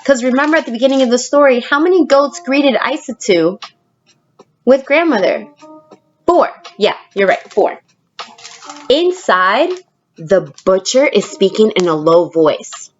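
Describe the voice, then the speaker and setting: A woman teacher reading a picture book aloud expressively, pausing to prompt young listeners with questions. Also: fairly high pitch, expressive, dull audio, a little hiss or hum. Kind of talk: storybook read-aloud with questions to children.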